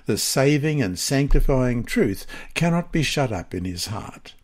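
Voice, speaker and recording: Husky man reading a devotional lesson. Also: mid-range voice, very animated, unhurried. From Australia. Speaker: lesson reader. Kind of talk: scripted devotional narration, reading aloud.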